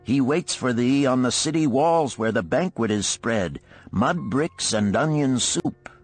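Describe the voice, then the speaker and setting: A man's voice: medium pitch, expressive, a little slow, soft background music, pitched above the performer's own voice. A man voicing a mocking ragged plowman in an audiobook, calling out with exaggerated courtesy. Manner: loud, mock-courteous, sing-out delivery. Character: mocking ragged plowman jeering at chained slaves.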